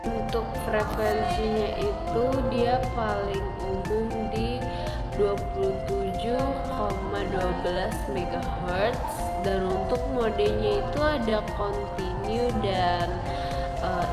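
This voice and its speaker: A young woman gives a halting, instructional clinical demonstration, explaining steps to a patient and viewers. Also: mid-range voice. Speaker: physiotherapy student demonstrating a treatment. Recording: very echoey room, music behind.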